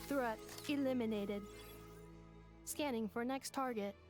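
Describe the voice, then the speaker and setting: A woman performs a young female robot from a video game. The voice sits high and stays formal and deadpan, wording everything with polite, literal precision. Soft music runs behind it. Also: a little slow, close to an everyday voice. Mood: clinical. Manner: formal, deadpan, clinical phrasing.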